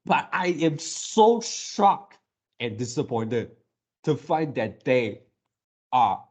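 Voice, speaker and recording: A man giving casual fan commentary as a video creator, halting as he repeats words and searches for phrasing. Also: mid-range voice, very animated. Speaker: video creator reacting to a game series.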